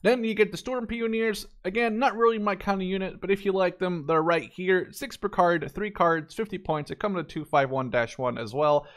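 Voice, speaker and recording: A man's voice: high voice, moderate pace, hardly any pauses. A man in his twenties from the Netherlands, a native Dutch speaker, gives casual, enthusiastic gameplay commentary, rambling and correcting himself as he goes. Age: 20s. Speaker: gaming YouTuber.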